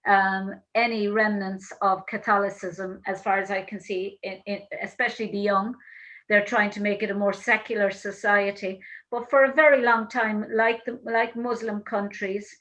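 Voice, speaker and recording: A woman's voice: medium pitch, fairly bright tone, rough recording. A woman with an Irish accent hosting an interview over a video call, speaking thoughtfully and often restarting her sentences. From Ireland. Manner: thoughtful, halting, many restarts. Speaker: host.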